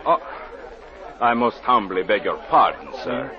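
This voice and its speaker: An actor playing a graceful, smiling stranger in an old radio drama, formal and elaborately polite. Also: male voice, mid-range voice, expressive, a little slow, lightly acted. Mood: suave apology. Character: graceful, smooth-mannered, smiling male stranger. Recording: dull old radio, crowd behind.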